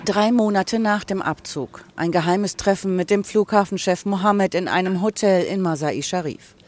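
Reporter narration, mid pitch, clear background noise, calm steady delivery. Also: moderate pace. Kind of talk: documentary narration.

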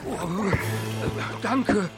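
An actor playing a grateful, bumbling rescued pirate in a children's radio play, sounding puzzled. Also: male voice, high-pitched, expressive, heavily acted. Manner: slow, puzzled. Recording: loud music behind.

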